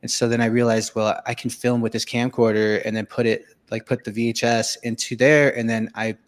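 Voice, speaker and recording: A man's voice: mid-range voice, flat delivery, fairly quick. A man born in Venezuela, a filmmaker and musician, telling his life story in a casual interview with many fillers and restarts.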